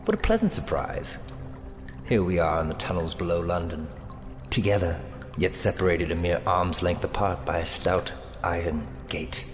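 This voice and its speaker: A man's voice: deep voice, animated, moderate pace. An actor playing a menacing, amorous male villain in an audio drama, speaking in a theatrical, taunting and seductive way. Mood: mocking. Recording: long cavernous reverb with music underneath. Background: dramatic music.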